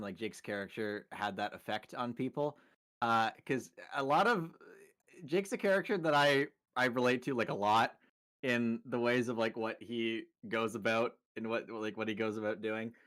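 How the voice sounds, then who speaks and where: A man's voice: medium pitch, moderate pace, fairly bright tone. A man voice actor speaking earnestly and heartfelt, stumbling and repeating himself. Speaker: voice actor on a panel.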